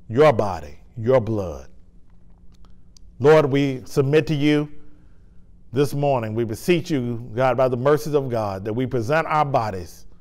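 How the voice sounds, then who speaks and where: A man's voice: mid-range voice, darkish tone. A man, a pastor, leading a sermon and communion liturgy in a church sanctuary with a lapel mic, with fervent, emphatic preaching and solemn prayer.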